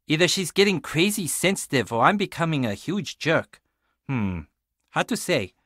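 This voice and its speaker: Video game role: awkward, self-deprecating young tech geek, lightish pitched and very animated in intonation. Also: male voice, normal speed, no echo, studio quality. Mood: casual, upbeat. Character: geeky, awkward, friendly young male tech tinkerer. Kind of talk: video game character dialogue.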